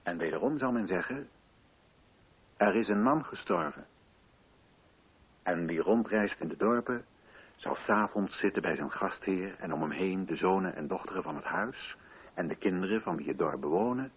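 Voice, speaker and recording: An actor playing a solemn colonial official who addresses village chiefs in deliberate, rhetorical oratory in a radio play. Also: male voice, sits low, animated, husky, narrow, tinny audio, full character voice. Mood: grave, storytelling.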